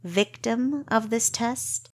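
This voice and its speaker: A woman's voice: on the high side, takes it slowly, dry recording, pitched above the performer's own voice. A woman voicing a wary young female spy trainee, asking pointed questions in an audiobook. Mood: guarded.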